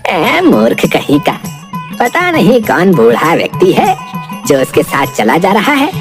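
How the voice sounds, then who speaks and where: A woman's voice: lowish voice, wildly swooping pitch, unhurried, over music. A woman voicing a lazy, envious village man in a cartoon story, with an exaggerated, whiny manner. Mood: grumpy.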